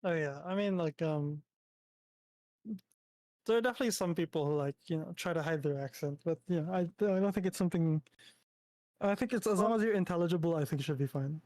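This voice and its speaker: Chatty Filipino man, fairly high voice. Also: a little fast, a little mellow, no room sound. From Philippines. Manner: chatty, lots of fillers and restarts. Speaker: streamer and VTuber host. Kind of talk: casual collab stream chat.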